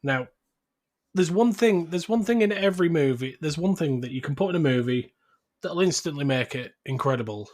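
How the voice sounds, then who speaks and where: A man's voice: fairly high pitch, animated, fairly quick, darkish tone. A man co-hosting a podcast, talking casually about films with friends. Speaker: podcast co-host. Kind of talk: casual film chat.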